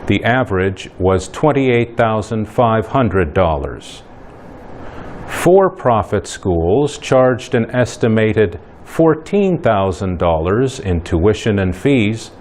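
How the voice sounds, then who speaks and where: A man reading a scripted economics news report slowly and carefully. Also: fairly low pitch, a little hiss or hum. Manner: slow, careful, even delivery. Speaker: news reader.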